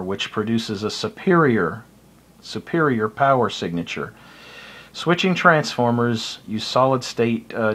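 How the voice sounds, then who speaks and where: A man's voice: medium pitch, fairly bright tone. A man with an American accent giving an informal, unscripted tutorial in a plain-spoken, explanatory and somewhat hesitant way. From United States. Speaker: hobbyist video presenter.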